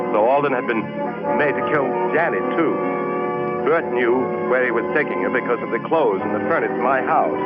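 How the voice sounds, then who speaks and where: Vintage radio lead: anxious, insistent architect, earnest and expressive, dull old broadcast sound with music behind. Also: male voice, lowish voice. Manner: earnest, repeating himself when agitated. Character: anxious, insistent young architect searching for missing wife. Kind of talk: 1940s radio drama acting and first-person narration.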